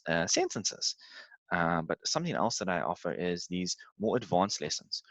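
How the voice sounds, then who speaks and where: A man in his thirties from South Africa, an English tutor giving a relaxed, conversational presentation while sharing his screen, with frequent filler words. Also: sits low, dry recording, rough recording.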